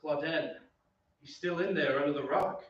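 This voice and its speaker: An actor playing an earnest, ardent young opera singer who also narrates an old-time radio drama. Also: male voice, on the high side, moderate pace, close to an everyday voice. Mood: grave. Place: theatre stage. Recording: very echoey stage, distant, muffled, faint noise.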